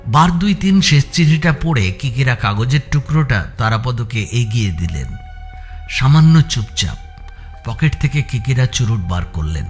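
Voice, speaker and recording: A man's voice: medium pitch, very animated, normal speed, soft background music. A man reading scripted story narration as an audiobook narrator.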